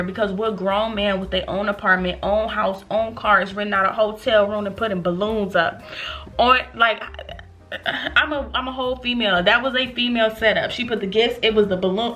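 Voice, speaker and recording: A woman in her late twenties telling a storytime to her viewers in an animated, chatty way, calling them y'all. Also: mid-range voice. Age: 20s. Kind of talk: casual storytime vlog.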